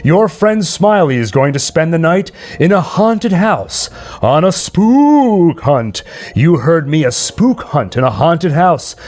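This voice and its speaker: Man as 1940s radio-play disc jockey, showy, teasing, very lively pitch, drawn-out emphasis. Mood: excited, teasing. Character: breezy 1940s radio disc jockey hyping a haunted-house stunt. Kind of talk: classic radio play acting.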